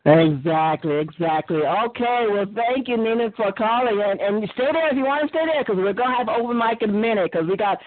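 A woman hosting a live radio call-in show, brisk and affirming, taking callers. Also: fairly high pitch, no echo. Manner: brisk, affirming, fills with short "mm-hmm"s. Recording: tinny phone line.

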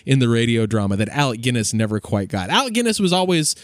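A man hosts a conversational podcast about pop culture. His voice sits at an average pitch. The sound is close and very dry. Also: expressive, fast talker, no room sound. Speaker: podcast host.

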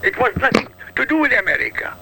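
Talk radio regular, male, from Iraq; high, swooping, gravelly delivery, combative and punchy. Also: big pitch swings, fast talker, background noise. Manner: emphatic, combative, punchy short sentences. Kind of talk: heated political talk radio.

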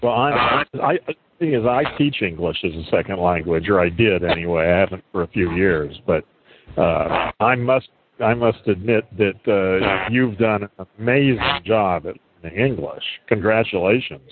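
A man chatting casually, asking eager, rapid questions. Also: deep voice. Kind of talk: casual conversation. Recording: phone-like line with faint noise.

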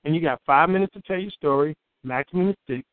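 Booming outspoken man from Chicago. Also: quick pace, no room sound. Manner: outspoken, repeats words when excited. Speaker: guest poet and storyteller. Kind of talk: animated storytelling in an interview. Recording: tinny phone-like line, dry.

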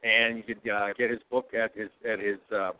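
A male host chatting in an easygoing, halting way with lots of fillers. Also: lowish voice, level tone. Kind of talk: casual chat. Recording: tinny phone-like sound.

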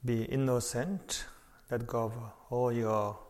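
A man guides a meditation at an extremely slow pace, leaving long pauses between short phrases. His medium-pitched voice stays fairly even, with a measured, instructive delivery. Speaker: meditation teacher.

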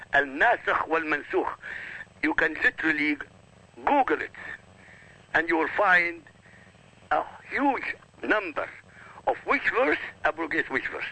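A man's voice: a little high, creaky, sharp tone, slightly rough, rough recording. A man whose first language is Arabic, arguing insistently on a radio talk show and lecturing with emphatic repetition. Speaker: guest.

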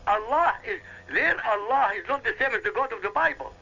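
A man's voice: extremely high pitch, expressive, brisk, pushed and bright, husky. A man from Iran whose first language is Arabic, hosting a heated radio debate and pressing his point with insistent interruptions. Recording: dull lo-fi radio audio.

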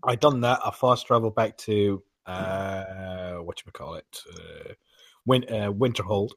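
A man's voice: lowish voice, measured pace, no echo, studio quality. A man on a podcast panel casually retelling stories in a rambling way, often searching for names. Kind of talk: casual chat, retelling gameplay anecdotes.

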